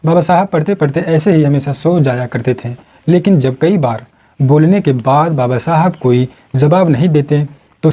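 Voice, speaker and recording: A man tells a dramatic story from a script. His voice sits a little high for a male, with a soft, dark and mellow tone that stays rounded. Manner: acts out quoted dialogue within the narration. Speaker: narrator.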